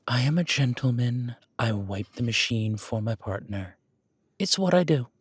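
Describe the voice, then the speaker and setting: A man acts out an easygoing male werewolf who loves the gym and supports his partner. He speaks at a medium pitch in a casual, conversational way, reassuring and rambling through his own corrections. The audio roleplay is recorded very dry, with hardly any room around the voice. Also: expressive, normal speed, breathy edge, no room sound. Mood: casual, instructive. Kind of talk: conversational one-sided roleplay acting.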